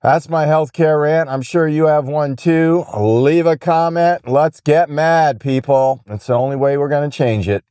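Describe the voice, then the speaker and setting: A man's voice: on the high side, unhurried, hardly any pauses, dark tone, clean tone, no echo, clean studio sound. An American man hosting an opinion podcast, ranting casually in an exasperated, emphatic way and repeating phrases for effect.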